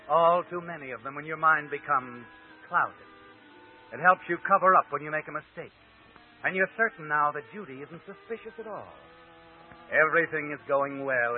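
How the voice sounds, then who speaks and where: An actor narrating an old-time radio suspense story in the second person as a knowing, ominous storyteller. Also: male voice, fairly high pitch, natural voice. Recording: old radio show, phone-like, music behind.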